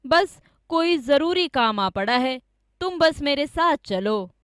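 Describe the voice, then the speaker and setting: Woman playing a high-voiced, scheming village man. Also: lively intonation, takes it slowly, a bit bright, dry recording, clean studio sound, full character voice. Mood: smooth, persuasive. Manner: insistent, dramatic, accusing. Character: scheming greedy village man, falsely accusing his friend.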